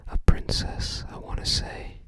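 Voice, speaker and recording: ASMR creator, whispers throughout, takes it very slowly, casual and musing. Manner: unhurried, musing, tentative recollection. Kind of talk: whispered ASMR, casual game guide commentary.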